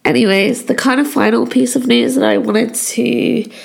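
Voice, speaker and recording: Croaky-voiced young woman with a cold. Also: a little high, normal speed. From England. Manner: chatty, many fillers like "kind of". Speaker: podcast host. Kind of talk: solo podcast chat.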